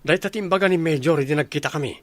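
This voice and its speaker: Guy as friendly young bro in radio drama, fast talker, slightly high voice. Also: no room sound, close to an everyday voice. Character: brisk, friendly young buddy working away from home. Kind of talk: radio comedy drama acting.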